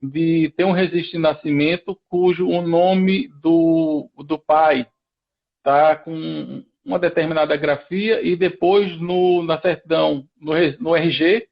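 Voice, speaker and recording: A man's voice: fairly high pitch, fairly even intonation, takes it slowly, creaky, dull audio. A man with a Pernambuco accent from Recife, a lawyer guest on a live stream, explaining procedures methodically step by step with frequent restarts. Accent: Pernambuco Brazilian Portuguese. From Recife, Brazil.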